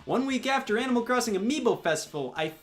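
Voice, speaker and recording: A man's voice: very high voice, lively intonation, fast, soft background music. A man delivering scripted comedic sketch commentary in an exasperated, sarcastic way. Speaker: comedy video host.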